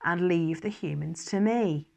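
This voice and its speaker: A cocky male archangel , as a woman reader puts him on, breezy and dismissive. He talks briskly and teasingly, as in a dramatised story reading. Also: on the low side, vocal fry, mellow.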